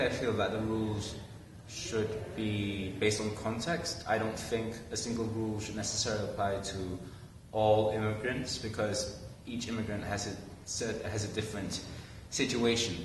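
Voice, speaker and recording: A man's voice: lowish voice, fairly even intonation, normal speed. A young male student from Malaysia answering interview questions conversationally, with fillers. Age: young adult. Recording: echoey hall from far off, faint noise.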